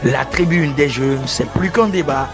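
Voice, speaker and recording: Un homme à l'togolais lit le texte d'un spot promotionnel d'un ton percutant et déclamatoire. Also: male voice, on the high side, unhurried, music in the background. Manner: punchy, declamatory. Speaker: promo voice-over. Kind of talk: scripted promo narration.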